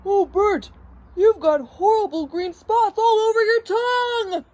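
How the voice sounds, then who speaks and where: A woman voicing a mischievous, excitable male puppet prankster from a storybook, exclaiming and teasing playfully. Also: high-pitched, unhurried, pitched above the performer's own voice. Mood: mock alarm.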